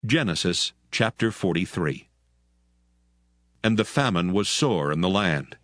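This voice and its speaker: A man narrating scripture in a measured, solemn reading. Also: low voice, expressive, a bit bright, no echo.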